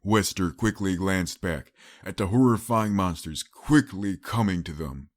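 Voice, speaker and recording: A man's voice: low voice, unhurried, no room sound, studio quality. A man reading a scripted story as an audiobook narrator, in a dramatic storytelling style.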